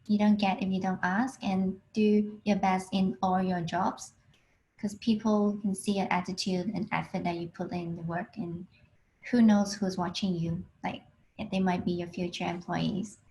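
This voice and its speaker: A woman in her twenties from Hong Kong, a PhD student giving a plain, unhurried talk over a video call with frequent ums. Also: lowish voice, flat delivery, a little mellow, a little hiss or hum, low-quality recording. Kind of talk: online alumni talk.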